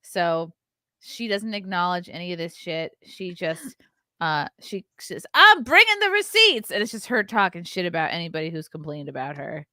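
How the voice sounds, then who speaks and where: Bright-voiced woman in profane chat. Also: on the low side, normal speed, no echo. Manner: profane, animated, mimics people she talks about. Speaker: comedian podcast host. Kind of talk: casual commentary chat.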